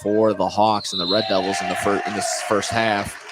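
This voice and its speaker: A man's voice: lowish voice, level tone, moderate pace. An American man doing live radio play-by-play of a basketball game, sometimes stumbling over names and restarting phrases. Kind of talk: live radio sports commentary. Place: courtside in a high school basketball gym. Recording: clear gym noise behind the voice. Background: gym ambience, basketball bounces.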